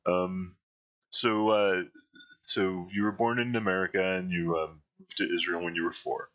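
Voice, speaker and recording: A man's voice: low voice, steady tone, moderate pace, fairly bright tone, lo-fi sound. A man hosting an interview, friendly and chuckling, with many fillers. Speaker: podcast host. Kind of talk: casual interview chat.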